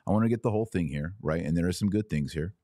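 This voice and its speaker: Low-pitched man giving podcast commentary. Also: quick pace, warm tone, dry recording, clean studio sound. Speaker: podcast host and religious commentator. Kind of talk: podcast commentary, reading a text aloud and remarking on it.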